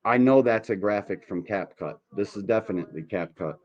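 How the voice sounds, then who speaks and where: A man's voice: lowish voice, brisk, darkish tone, highs cut off. A man in his forties hosting a reaction livestream, giving blunt, profane, emphatic commentary full of rhetorical questions. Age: 40s. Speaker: podcast host reacting to a video.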